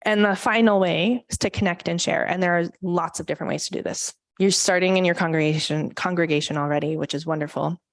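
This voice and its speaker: Lower-voiced woman giving a conservation talk. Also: steady tone, fast, few pauses. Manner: earnest, frequent um fillers. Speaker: conservation presenter. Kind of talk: public talk at a church forum. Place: church meeting room.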